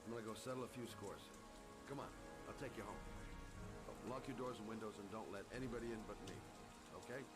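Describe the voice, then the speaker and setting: Male actor as gruff game private eye, weary and hard-bitten, dramatic score loud behind. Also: lowish voice, fast talker, over loud music, lightly acted. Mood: weary, determined. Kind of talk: video game cutscene acting.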